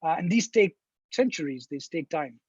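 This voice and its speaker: A man talks in a seminar, weighing each idea through restarts and fillers. His voice is husky and sits fairly high for a man. The sound is dry and a little muffled, like call audio. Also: expressive, normal speed, no room sound. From India. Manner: thoughtful, many restarts and fillers. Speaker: professor answering questions. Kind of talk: academic seminar talk.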